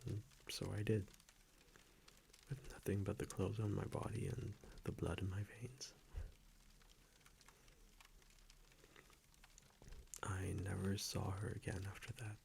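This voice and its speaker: A man playing a gentle, affectionate vampire boyfriend in an intimate ASMR audio roleplay, speaking softly and often dropping into a whisper. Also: low-pitched, little pitch movement, normal speed, slight background noise. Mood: wistful, calm. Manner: soft-spoken, breathy, tender, often dropping to a whisper. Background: soft fireplace ambience.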